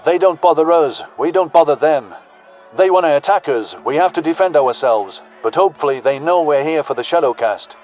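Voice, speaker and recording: Man as a brash, blunt soldier, highish voice. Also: expressive, a little fast, darkish tone, natural voice. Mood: brash, practical. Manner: slangy, blunt, folksy. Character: brash, pragmatic male human soldier, protective leader.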